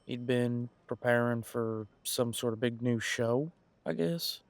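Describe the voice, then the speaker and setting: A man's voice: medium pitch, slow pace, no room sound. A man playing a casual, wry police detective in an audio roleplay, musing in a conversational, hesitant way. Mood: explaining, thoughtful.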